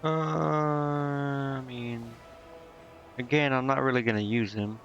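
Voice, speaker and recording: Gravelly-voiced man casually streaming a game. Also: mid-range voice, steady tone, slow pace, a bit bright, lo-fi sound. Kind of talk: casual gameplay commentary, thinking aloud. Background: game music.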